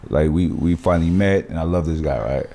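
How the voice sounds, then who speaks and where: A man with a low, dark voice holds forth on his podcast. He speaks in an animated, emphatic way, full of street slang and cursing. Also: brisk, gravelly. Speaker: podcast host. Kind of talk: casual podcast conversation.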